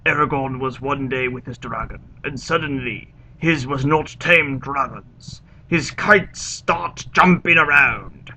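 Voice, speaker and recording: A man playing a pompous, earnest foreign author who gives theatrical, deliberate dramatic readings of his absurd broken-English stories. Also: mid-range voice, sharp tone, slight background noise, heavily acted, rough recording. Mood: grave. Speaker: reader of fan fiction.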